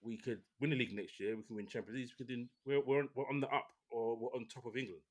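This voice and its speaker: A man hosting a casual football podcast, talking in a relaxed, opinionated way with many restarts. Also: mid-range voice, fast talker. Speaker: podcast host.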